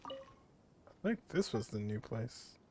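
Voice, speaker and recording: A man's voice: medium pitch, huge pitch swings, slow. A man giving casual gameplay commentary, offhand and half muttering to himself. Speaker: gaming streamer. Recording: faint game music behind.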